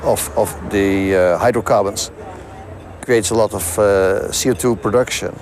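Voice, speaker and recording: A man giving a halting explanation in an interview, repeating words as he goes. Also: lowish voice, fairly even intonation, background noise.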